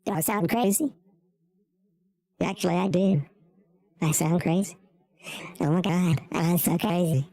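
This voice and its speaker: A man's voice: sits high, measured pace, gravelly, pauses often, warm tone, dry recording, voice pushed up, heavily acted. A man trying a crazy voice changer preset, giddy. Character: crazed voice preset.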